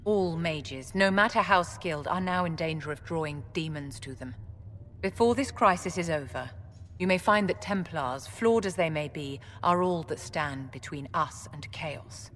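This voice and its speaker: An actress playing an elegant, imperious middle-aged enchantress in a video game, with a haughty, deliberate manner. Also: female voice, low voice, fairly bright tone. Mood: stern, advising. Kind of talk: video game dialogue acting. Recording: noisy, long hall reverb.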